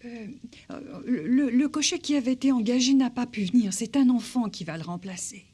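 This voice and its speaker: An actress playing a flustered, nervous servant woman in a French cartoon dub, stammering in a husky voice. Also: on the high side, a little fast, darkish tone.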